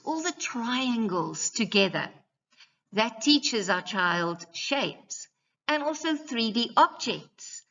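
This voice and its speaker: A woman's voice: medium pitch, a bit bright, dull audio. A woman teacher giving a scripted presentation to parents in a didactic, carefully enunciated way.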